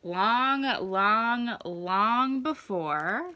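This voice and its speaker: A young adult woman reading a picture book aloud to children, expressive and deliberate, stretching words for emphasis. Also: on the high side, dry recording. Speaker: children's story time reader. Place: small indoor room at home.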